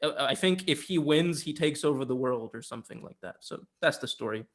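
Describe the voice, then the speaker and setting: Man with mid-pitched voice, casually explaining. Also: animated, fairly quick, fairly bright tone. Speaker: podcast co-host. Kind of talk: casual film review chat.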